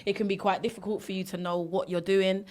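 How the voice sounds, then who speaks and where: Woman from the UK, rapper guest, candid casual chat, average pitch. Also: little pitch movement, a little mellow. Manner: chatty, candid, quick to laugh.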